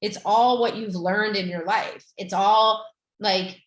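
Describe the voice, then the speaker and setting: Woman, Romanian native speaker, therapist host, gentle, filler words, mid pitch, echoey room. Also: steady tone, slow, dull audio. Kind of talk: guiding an anxiety tapping exercise.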